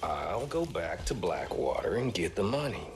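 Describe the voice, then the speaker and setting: An actor playing a coaxing, scheming outlaw, insistent and hushed, in a video game. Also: male voice, low voice, very animated, normal speed, background noise. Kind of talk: video game cutscene acting.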